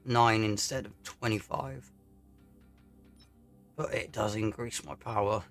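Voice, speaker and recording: A man giving casual, low-key commentary on a video game as he plays, often muttering to himself. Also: steady tone, takes it slowly, a bit bright. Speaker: gaming YouTuber. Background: game music.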